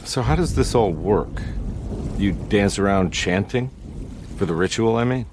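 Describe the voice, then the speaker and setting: Low-voiced, wary detective. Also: male voice, expressive, a little slow, noisy background. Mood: guarded, dry. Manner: dry, terse, skeptical. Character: gruff male detective, wary, laconic, adult. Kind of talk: video game cutscene dialogue. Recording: rain and thunder ambience behind voice.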